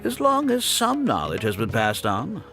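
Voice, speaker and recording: An actor playing a smug, scheming architect in a video game, speaking in a condescending, self-justifying way. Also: male voice, mid-range voice, huge pitch swings, moderate pace. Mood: mock-polite. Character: smug, scheming male architect running a scam academy. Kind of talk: theatrical video game voice acting. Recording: game audio with background music.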